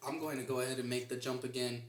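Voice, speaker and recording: A man's voice: medium pitch, flat delivery, fast. A male podcast host with an upbeat, chummy way of talking, introducing and questioning his guest. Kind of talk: casual podcast interview. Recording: very echoey room, distant from mic, faint noise.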